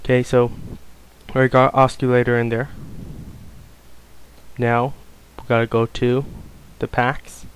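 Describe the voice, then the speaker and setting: A man giving a casual, unscripted software tutorial, explaining things informally step by step. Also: mid-range voice, flat delivery, slow, long pauses, a little hiss or hum, poor audio. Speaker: tutorial presenter.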